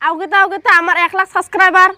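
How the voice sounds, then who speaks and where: A woman's voice: very high voice, steady tone, racing, sharp tone, no room sound. A woman YouTuber chatting directly to her viewers, thankful and chatty as she talks to subscribers. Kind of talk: casual vlog talk to subscribers.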